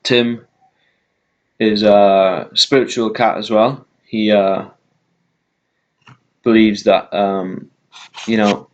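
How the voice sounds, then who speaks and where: Brief: Welsh male vlogger, lowish voice, hesitant and rambling, dull lo-fi recording. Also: flat delivery. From Wales. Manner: rambling, many ums and uhs, deliberately slowed down. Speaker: vegan YouTuber. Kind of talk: casual talk to camera.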